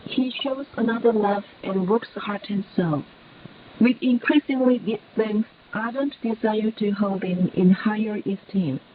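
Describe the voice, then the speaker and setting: A woman's voice: mid-range voice, a little slow. A woman radio announcer reading a script formally and reverently over shortwave radio. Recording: noisy, narrowband shortwave radio.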